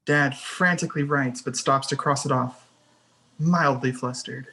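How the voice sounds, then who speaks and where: A man's voice: medium pitch, steady tone, measured pace, bright, forceful tone, slightly rough. A man reading stage directions as the narrator of a screenplay table read.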